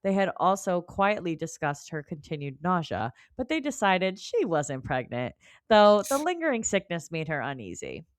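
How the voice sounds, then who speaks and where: Woman podcast cohost w a deep voice, dry sound, casual book talk and recaps. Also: big pitch swings, moderate pace, no echo. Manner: informal, colloquial. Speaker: podcast co-host. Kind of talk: casual book club chat with read-out chapter recap.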